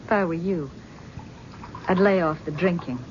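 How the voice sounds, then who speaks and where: A bitter, mocking wife in a 1970s radio drama, played by an actress, with a sarcastic, needling edge. The sound is dull and lo-fi, like an old radio broadcast. A faint hiss runs underneath. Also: female voice, fairly low pitch, normal speed, natural voice.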